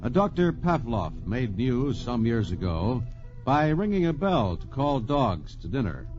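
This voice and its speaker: A man's voice: mid-range voice, very animated, measured pace. A man hosting and narrating a science radio story in scripted narration with a genial, explanatory manner, on an old transcription recording. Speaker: narrator, host. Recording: dull transcription disc recording with music.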